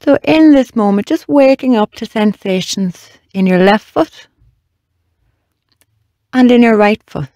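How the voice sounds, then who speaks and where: A meditation guide leading a body scan in a slow, measured, gently instructing way with long pauses. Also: medium pitch, animated, dry recording, clean studio sound.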